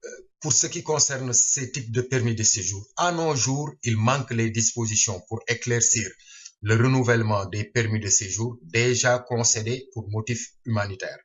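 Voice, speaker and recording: A man reading a scripted news explainer aloud in short, halting phrases. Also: medium pitch, lo-fi sound. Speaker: reader and translator of a news bulletin.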